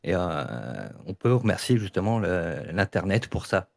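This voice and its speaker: A man's voice: sits low, big pitch swings, unhurried, creaky, dry recording, studio quality. A man with a French accent explaining his views in a casual online debate, often hesitating and repeating words. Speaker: debate participant.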